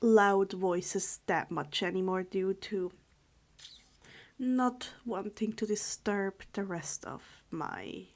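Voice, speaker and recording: A woman speaking in a hushed, subdued voice, halting and correcting herself as she chats and reads stories aloud. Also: medium pitch, slight background noise. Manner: halting, stumbling over words and correcting herself. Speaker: storyteller reading fan fiction aloud for a channel. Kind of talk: informal chat, then read-aloud narration.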